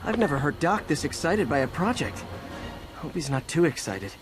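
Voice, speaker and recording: A young game superhero, earnest and quick to wisecrack. His voice is on the high side and very lively, with clear ambient noise around it. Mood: worried. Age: 20s. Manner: boyish, conversational, anxious wisecracks. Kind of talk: video game voice acting.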